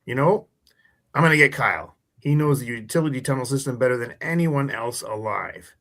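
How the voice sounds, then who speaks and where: A man playing a nerdy, excitable teenage boy in a live radio play, earnest and stammering, with formal phrasing. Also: mid-range voice, brisk, lightly acted. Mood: eager, urgent.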